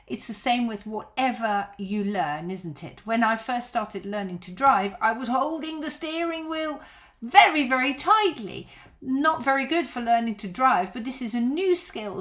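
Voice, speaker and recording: A middle-aged woman whose first language is Dutch, a violin teacher chatting on a live stream and answering viewer questions in a reassuring way. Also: a little high.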